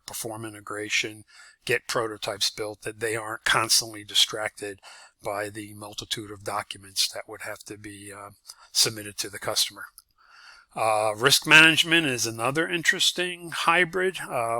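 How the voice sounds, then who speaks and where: A man giving an informal lecture as an instructor, explaining point by point in a halting delivery. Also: fairly low pitch, bright, forceful tone, no room sound.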